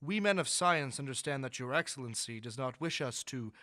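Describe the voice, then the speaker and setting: A man playing a dignified, deferential astronomer in a radio drama, speaking in a formal, measured, lecturing way. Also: mid-range voice, expressive, pushed and bright, dry recording, clean studio sound. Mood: respectful, careful. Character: dignified male astronomer, learned, earnest, deferential yet sure.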